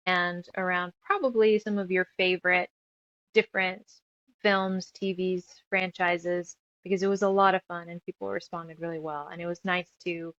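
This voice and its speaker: A woman's voice: mid-range voice, fairly bright tone, no echo. Chatty woman, slightly dull lo-fi sound. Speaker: guest author and musician. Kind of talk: casual interview chat.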